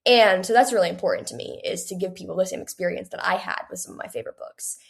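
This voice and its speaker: A woman's voice: lowish voice, fast, vocal fry. An eighteen-year-old woman speaking casually and earnestly as a young author being interviewed, chatting with frequent use of "like".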